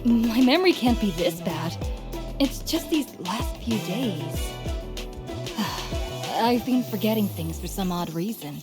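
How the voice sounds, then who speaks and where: A woman's voice: mid-range voice, expressive, takes it slowly. A woman playing an amiable young townswoman in a video game, talking in a conversational, explanatory way. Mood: puzzled. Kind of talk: video game character acting. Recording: game music behind. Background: game music.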